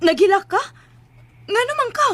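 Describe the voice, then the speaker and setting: A woman's voice: very high-pitched, lively intonation, moderate pace, fairly bright tone, a little hiss or hum. A woman with a Cebuano accent playing an emotional, protective young daughter in a radio drama, plaintive and insistent. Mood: worried. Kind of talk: Philippine radio drama acting.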